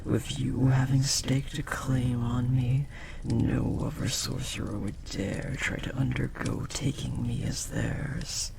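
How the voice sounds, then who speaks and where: A man voicing a powerful, possessive demon bound to a master, speaking formally and theatrically in a breathy, gravelly voice in an audio roleplay. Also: mid-range voice, flat delivery, takes it slowly. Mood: proud, devoted. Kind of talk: dramatic audio roleplay monologue. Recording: clear background noise, ambience.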